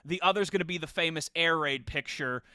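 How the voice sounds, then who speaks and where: Male sports podcast host, higher-pitched and bright, casual delivery, dry close sound. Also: fairly quick, dry recording.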